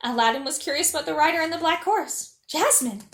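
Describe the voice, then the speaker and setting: Female storyteller for kids, very high voice, expressive read, recorded in an echoing room. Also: fast talker, slight background noise. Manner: animated, acting out dialogue. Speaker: storyteller reading as a princess character. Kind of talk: expressive children's story reading.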